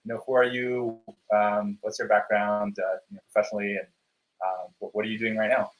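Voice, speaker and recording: A man's voice: on the low side, flat delivery, brisk, rough recording. A man hosting a live webinar interview, upbeat and loose, with many fillers and restarts. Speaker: webinar host.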